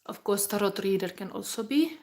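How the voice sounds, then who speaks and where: A woman in her forties, giving a live tarot reading in a halting, musing way with soft whispered asides. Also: mid-range voice, animated. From Finland. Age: 40s. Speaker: tarot reader.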